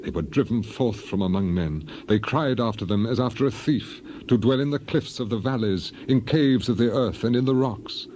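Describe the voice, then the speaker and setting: A man's voice: medium pitch, moderate pace, hardly any pauses, warm tone, a little hiss or hum, close to an everyday voice. A man voicing a grieving, dignified biblical elder in a solemn, lamenting, declamatory dramatized reading. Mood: bitter, scornful.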